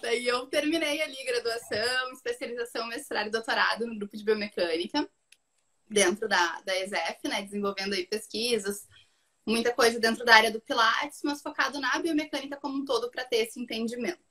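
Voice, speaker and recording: High-voiced woman with a southern Brazilian accent. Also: steady tone, a little fast, bright, forceful tone. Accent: Rio Grande do Sul Brazilian. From Rio Grande do Sul, southern Brazil. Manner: informal, playful. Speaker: guest, biomechanics researcher and teacher. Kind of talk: casual interview chat.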